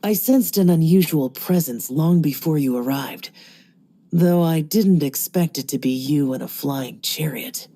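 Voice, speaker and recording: A man's voice: fairly high pitch, a little slow, warm tone. A man voicing an aloof young male demon-slaying guardian who speaks in a terse, reserved way. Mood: cool, terse.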